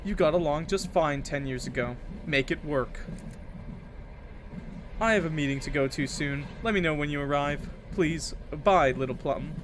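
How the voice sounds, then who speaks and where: Stern, chiding mother for a visual novel played by a man, slightly high voice, faint noise behind. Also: moderate pace, close to an everyday voice. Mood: scolding, brisk. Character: brisk, scolding, dismissive mother on a call.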